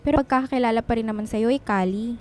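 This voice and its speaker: A woman's voice: on the high side, quick pace, darkish tone, natural voice. A woman playing a teasing, supportive young best friend in radio drama dialogue, chatty in manner. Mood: cheerful, teasing.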